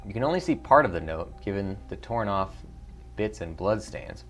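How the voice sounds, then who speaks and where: A man's voice: on the low side, very animated, normal speed, a bit bright, faint music behind. A man streaming a video game, switching between casual commentary and reading the narration aloud.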